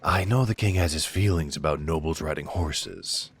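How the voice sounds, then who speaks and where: A man playing a duke's loyal, blunt werewolf companion in a fantasy audio drama, with a sardonic, familiar way of talking and a deep, husky voice. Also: normal speed, full character voice. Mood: reassuring, sly. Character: loyal, blunt, defiant werewolf right-hand man to a duke.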